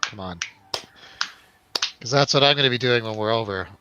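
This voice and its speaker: A man's voice: medium pitch, normal speed, sharp tone, dull audio, slight background noise. A man hosting a casual film review chat, rambling along with wry joking. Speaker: host of a film review show.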